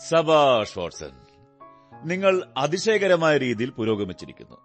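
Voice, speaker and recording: Radio drama detective: observant, condescending, deliberate and instructive, with animated, swooping intonation. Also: male voice, a little high, soft background music. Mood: amused, explaining. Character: brilliant, self-assured male detective, observant and condescending.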